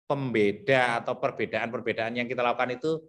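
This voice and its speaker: A man with a thick Javanese accent explaining teacher competency criteria to colleagues, repeating himself and filling pauses as he thinks aloud. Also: mid-range voice, steady tone, a bit bright. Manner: repetitive, thinks aloud with many fillers.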